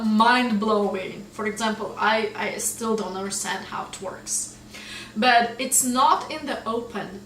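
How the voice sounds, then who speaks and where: A woman with a Russian accent, a native Russian speaker, teaching English vocabulary in a casual lesson, explaining slowly and often checking in with "right?". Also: medium pitch, fairly bright tone, roomy sound, recorded from a distance, slight background noise, low-quality recording.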